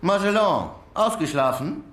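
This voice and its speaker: Pressing investigator, echoey room. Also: male voice, sits high, very animated, measured pace, over loud music. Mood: brisk, dry. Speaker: actor playing a police investigator. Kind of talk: German radio crime drama acting. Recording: roomy echo, faint background noise.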